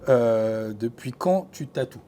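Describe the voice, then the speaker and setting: A man hosting a channel, quietly asking hesitant questions full of fillers in an interview. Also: medium pitch, animated, takes it slowly. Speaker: host and interviewer.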